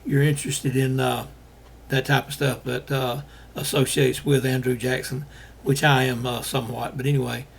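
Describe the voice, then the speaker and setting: An older man from Alabama, hosting a casual monologue to viewers, talking in a halting way with many fillers while he is losing his voice. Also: mid-range voice, little pitch movement, creaky, slight background noise. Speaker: host.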